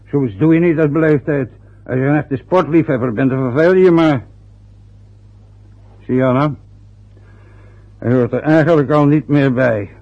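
Aging father, stubborn and sarcastic, gravelly voice, lo-fi radio drama. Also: on the high side, normal speed, pauses often. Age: older. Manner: grumbling, sarcastic. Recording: dull lo-fi old radio recording.

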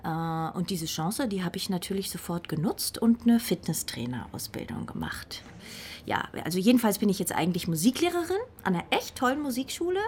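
A woman's voice: mid-range voice, big pitch swings, fairly quick, a little hiss or hum, close to an everyday voice. An actress playing an embarrassed jobless musician woman in a radio drama, stammering and hesitant as she explains herself. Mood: flustered, embarrassed.

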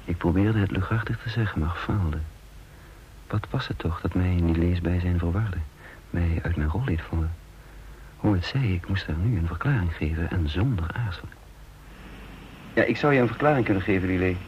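An actor playing a guarded, earnest intelligence chief in a radio drama, musing and hesitant, on a dull, noisy old recording. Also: male voice, low-pitched, normal speed, lightly acted. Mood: reflective narration, then evasive. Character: guarded male intelligence chief, flippant facade over gravity. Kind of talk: radio drama acting with first-person narration.

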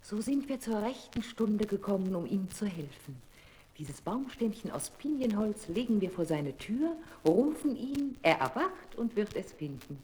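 An actress playing a gentle, solemn good fairy with measured, incantatory speech in a children's radio play, with music behind her. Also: medium pitch.

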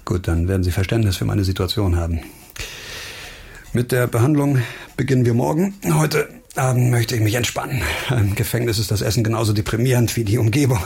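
An actor playing a disgraced ex-doctor just out of prison in a radio crime drama, wry and weary, with hesitations and muttered sardonic asides. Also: male voice, mid-range voice, fairly quick, creaky, a little mellow, husky. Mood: cool, probing.

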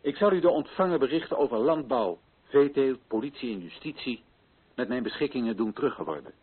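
A muffled, lo-fi old radio drama recording of an earnest colonial official. His voice is husky and swings widely in pitch as he declaims in formal, righteous, solemn phrases. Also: animated, fairly quick. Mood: formal, exhorting. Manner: declamatory, formal, rhetorical questioning. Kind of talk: theatrical radio drama oratory.

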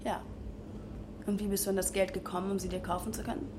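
A woman's voice: medium pitch, flat delivery, quick pace, background noise, close to an everyday voice. An actress in her twenties playing a frustrated, disillusioned young graduate in naturalistic radio drama, arguing and questioning. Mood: subdued, insistent. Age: 20s. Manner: argumentative, questioning.

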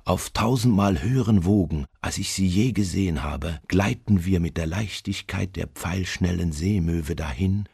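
An actor playing a haunted, doomed seafarer in a literary radio drama, speaking in a slow, brooding, solemn manner with a husky, gravelly voice. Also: male voice, a little mellow, no room sound, studio quality, full character voice. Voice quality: very deep, gravelly, husky, at times near whisper. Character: haunted male seafarer recounting his doom aboard a ghost ship.

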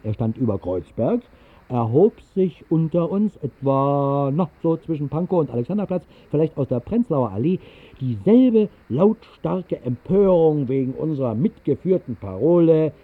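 Whimsical, animated radio-play storyteller, slightly dull sound. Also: male voice, fairly high pitch, normal speed. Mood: wry, musing. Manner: wry, conversational storytelling with musing asides. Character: whimsical man recounting a fantastical night flight over the city. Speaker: first-person narrator of a radio play. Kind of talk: 1960s literary radio play narration, storytelling.

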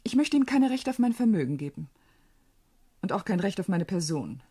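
An actress playing a shrewd, proud, self-possessed widowed sister in a German radio play, speaking deliberately and expressively. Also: female voice, medium pitch, sing-song, mellow, breathy edge. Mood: businesslike, firm.

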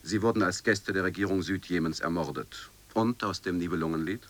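A man narrating a scripted radio piece in formal, measured declamation, like a chronicle or news report. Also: deep voice.

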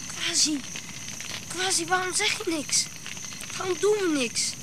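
Very high-pitched frightened boy prince. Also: unhurried. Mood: scared, pleading. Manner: anxious, pleading. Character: frightened young African prince, a child. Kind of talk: radio drama acting. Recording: clear crackling outdoor background noise.

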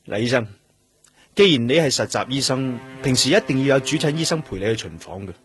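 Radio drama detective: Hong Kong Cantonese, mid-pitched, measured, persistent questioner, music in the background. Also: male voice, expressive, husky. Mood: polite, probing. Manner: measured, questioning, with noncommittal hums. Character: probing male CID police detective, calm and persistent. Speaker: actor.

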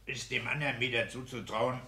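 Gravelly, blustering bossy husband. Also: male voice, medium pitch, steady tone, fairly quick, pushed and bright. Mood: brisk, businesslike. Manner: blustering, commanding, self-satisfied. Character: bossy, boastful, vigorous petty-bourgeois husband and official. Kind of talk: 1960s German radio drama acting. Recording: hissy 1960s radio play recording.

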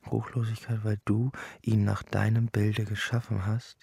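An actor reading the monologue of a despairing old deported man who accuses God, in a husky, gravelly voice. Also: fairly low pitch, little pitch movement, unhurried, a little mellow, no room sound, studio quality, full character voice. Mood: anguished, bitter.

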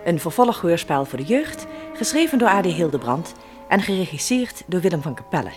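Low-voiced, animated woman radio announcer. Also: brisk, soft background music. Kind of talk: vintage scripted radio announcement.

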